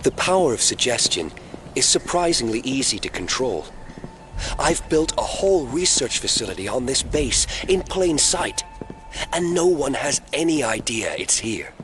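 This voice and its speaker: A smug, animated villainous schemer. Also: male voice, medium pitch. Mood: smug, proud. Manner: condescending, measured, formal wording. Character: smug, calm man running a secret cloning facility. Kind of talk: audio drama acting.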